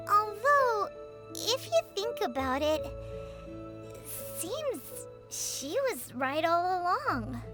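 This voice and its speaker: Super high squeaky little fairy sidekick, cutesy n curious, slow n bouncy, game music behind. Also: female voice, exaggerated voice. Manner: cutesy, refers to self in third person. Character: tiny childlike fairy companion, female, naive, curious, chatty. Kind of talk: video game character dialogue.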